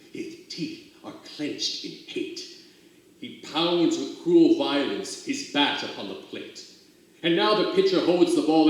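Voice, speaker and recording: A man giving a dramatic poetry recital, with expressive, theatrical storytelling and drawn-out emphasis. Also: on the high side. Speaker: reader of poetry for a theater company. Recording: very echoey, roomy sound.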